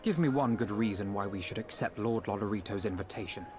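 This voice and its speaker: A man's voice: lowish voice, fairly quick, a little mellow, close to an everyday voice. An actor playing a young, earnest male diplomat in a game cutscene, speaking formally, curtly and suspiciously. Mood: firm. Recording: with background music.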